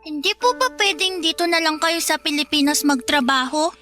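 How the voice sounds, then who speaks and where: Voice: high-pitched, moderate pace, bright, forceful tone, soft background music. An actor playing a young boy in a Filipino radio drama, polite, childlike and pleading. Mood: curious, worried. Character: young boy, eager, pleading, fond of his uncle.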